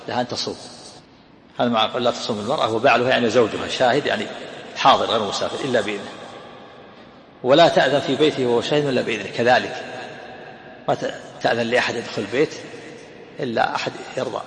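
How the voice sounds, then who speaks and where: Gravelly fast-talking teacher in an echoey hall. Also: male voice, medium pitch, a bit bright. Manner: explanatory, repeats phrases. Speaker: sheikh explaining hadith. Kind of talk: hadith commentary lesson. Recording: echoey hall, faint noise.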